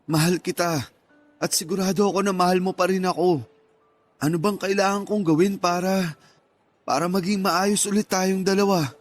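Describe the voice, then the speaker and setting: A man's voice: high voice, fairly even intonation, moderate pace, pauses often, soft background music, lightly acted. A man playing an earnest, lovelorn young man in a Tagalog radio drama, pleading emotionally.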